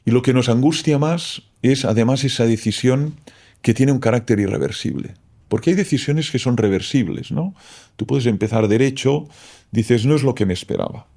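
Gravelly, dark-voiced man explaining slowly. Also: mid-range voice. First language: Catalan. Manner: thoughtful, explanatory, keeps tagging "¿no?". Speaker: philosopher guest. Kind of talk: reflective interview talk.

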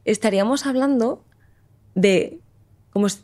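A woman's voice: fairly high pitch, unhurried, mellow. A woman hosting a conversation, supportive and explanatory. She builds her points step by step and checks in with her guest. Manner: supportive, explanatory, speaks of neuroscience and energy. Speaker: host. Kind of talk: conversational interview.

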